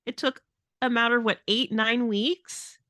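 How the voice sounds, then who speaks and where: A woman with a bright, forceful voice, pitched a little high, hosts a casual podcast. She is blunt and exasperated, stressing her points. The recording is close and dry. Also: steady tone, unhurried, dry recording. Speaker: podcast host. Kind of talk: casual venting podcast chat.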